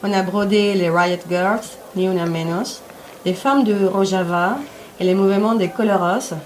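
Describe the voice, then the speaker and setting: A woman's voice: mid-range voice, normal speed, a little hiss or hum, lightly acted, low-quality recording. A woman playing an earnest, moved community member making a solemn announcement in an audio drama. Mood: moved, proud. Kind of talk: ceremonial speech in audio fiction.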